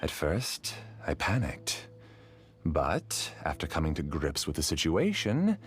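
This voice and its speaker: A man's voice: extremely low pitch, huge pitch swings, slight background noise, heavily acted. A man acting a smug, obsessive scholar villain in a video game, grandiose and theatrical as he justifies himself. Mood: calm self-justification. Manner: grandiose, theatrical, measured exposition. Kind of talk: scripted video game dialogue.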